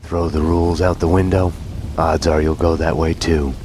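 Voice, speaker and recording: An actor playing a world-weary middle-aged detective in a game, speaking terse, fatalistic, deadpan noir monologue. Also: male voice, extremely low pitch, normal speed, slight background noise. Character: brooding, world-weary middle-aged male detective narrating his own downfall. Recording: dramatic music under the voice.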